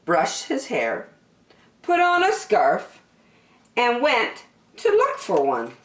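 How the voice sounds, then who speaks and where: A woman reads a picture book for young children at an unhurried pace. Her pitch rises and falls expressively, with key words drawn out. The room around her is echoey. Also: wildly swooping pitch. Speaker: storyteller. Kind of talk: animated children's storybook reading.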